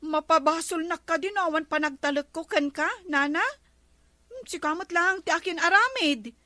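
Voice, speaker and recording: Woman acting bright, high-pitched guarded village shopkeeper. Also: normal speed, no echo. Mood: firm, argumentative. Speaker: actor in a comedy drama. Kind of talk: Ilocano comedy drama acting.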